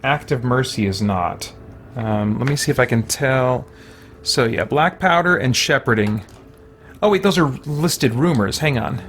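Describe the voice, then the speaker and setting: A man's voice: mid-range voice, expressive, a little slow, fairly bright tone, a little hiss or hum. A man giving casual gameplay commentary as a streamer, musing to himself as he goes. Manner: thinking aloud, musing to himself. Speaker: game streamer.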